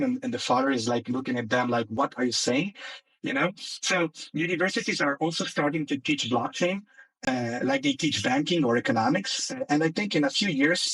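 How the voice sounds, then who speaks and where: A man with a gravelly edge to a fairly high voice speaks as a company CEO on a panel. His pitch swings widely while he talks, and he repeats words as he gets going. The voice comes through a remote call and sounds set back from the mic. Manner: animated, repeats words when starting sentences. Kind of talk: panel discussion on an audio space. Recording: distant online audio call.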